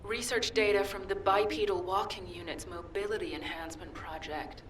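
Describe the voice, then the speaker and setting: A woman's voice: medium pitch, steady tone, normal speed, a bit bright, roomy sound, recorded from a distance, slight background noise, close to an everyday voice. A woman voicing a cold, mission-driven cyborg infiltration agent in a video game, with a clipped, businesslike delivery. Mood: muttering, irritated.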